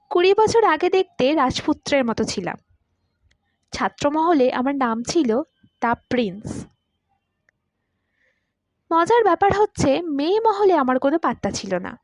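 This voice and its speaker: A courteous, talkative man of about forty in an audiobook, read by a woman, confiding and wistful. The voice sits high, and the sound is dry and free of echo. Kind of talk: audiobook character dialogue.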